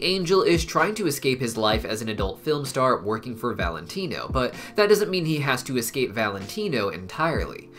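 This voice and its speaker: A man's voice: mid-range voice, fairly bright tone. A man hosting an explainer video, reading scripted narration in long, flowing explanatory sentences. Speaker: host of a cartoon explainer video channel.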